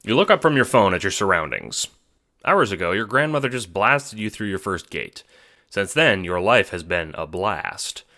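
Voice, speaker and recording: Guy reading audio drama narration, really expressive, bright punchy voice, pitch moves around a lot. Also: normal speed. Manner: animated, expressive. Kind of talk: scripted second-person storytelling.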